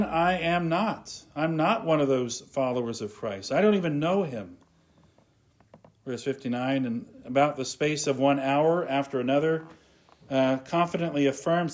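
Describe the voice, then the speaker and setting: A man's voice: a little high, darkish tone. A man preaching an earnest sermon, reading scripture and retelling it in his own words. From not from Scotland.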